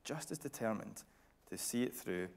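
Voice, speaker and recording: A man interviewing on stage in a measured, probing way. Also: fairly low pitch, animated, gravelly, darkish tone. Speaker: interviewer, TV reporter. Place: radio theatre stage.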